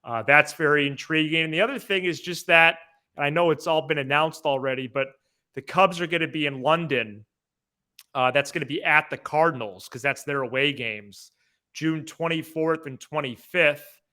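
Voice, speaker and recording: A man's voice: on the high side, moderate pace, pushed and bright. A man co-hosting a sports podcast, speaking in a casual, conversational style.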